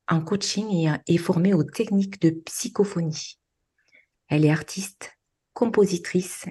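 A woman's voice: low-pitched, mellow, husky. A woman hosting a podcast, reading a prepared introduction and interviewing a guest. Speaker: podcast host.

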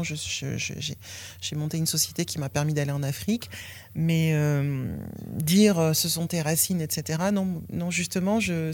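A woman's voice: low-pitched, no echo. A French woman in her fifties, a former athlete, telling her own story in a frank, blunt way and swearing now and then. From France. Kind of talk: candid personal testimony.

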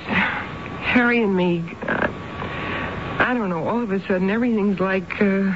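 An actress playing an anxious, troubled housewife in a 1970s radio drama, hesitant and halting, with nervous stammers. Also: female voice, medium pitch, natural voice. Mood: distressed, doubtful. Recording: noisy, dull 1970s radio broadcast.

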